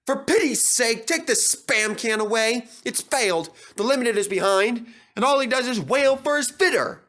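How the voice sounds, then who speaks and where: A man's voice: extremely high pitch, expressive, moderate pace, a bit bright, pitched above the performer's own voice. A man playing an exasperated railway signalman who scolds sharply, in a storybook reading.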